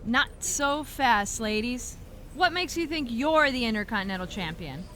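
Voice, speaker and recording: A smug, bright-voiced wrestling champ. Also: female voice, on the high side, normal speed, a little hiss or hum. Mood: taunting. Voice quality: bright, taunting. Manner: smug, mocking, excitable. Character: boastful, bratty woman wrestling champion. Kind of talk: video game cutscene acting.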